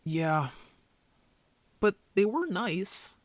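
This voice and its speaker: A man playing an injured young werewolf, a defensive, easily embarrassed brother who talks casually and haltingly in an audio drama roleplay. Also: high voice, big pitch swings, no room sound, heavily acted. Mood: earnest, defensive.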